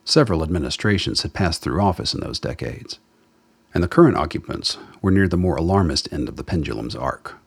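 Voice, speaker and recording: A man's voice: very animated, a little fast. A man reading scripted dramatic narration as the storyteller of a radio play. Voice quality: very deep, husky.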